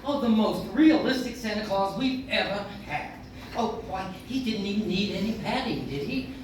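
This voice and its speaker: A woman's voice: mid-range voice, animated, normal speed, recorded from a distance, natural voice. Woman playing a gushing, swooping 1940s career woman. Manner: gushing, theatrical. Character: gushing, excitable 1940s department store publicity woman. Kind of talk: 1940s radio acting. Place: coffee house stage, recorded from audience. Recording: echoey room from afar, clear noise.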